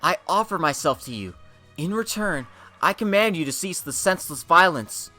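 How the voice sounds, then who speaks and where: Young princess, male reader, regal, resolute and gentle, raised pitch, formal delivery for a dramatic fantasy reading. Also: expressive, normal speed, soft background music. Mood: commanding.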